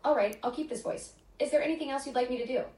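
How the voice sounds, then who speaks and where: A computer-generated woman's voice answering in polite, even, scripted phrases. It sits a little high and rings out from a speaker in an echoey room. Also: rapid-fire. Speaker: voice assistant. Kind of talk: synthetic assistant replies.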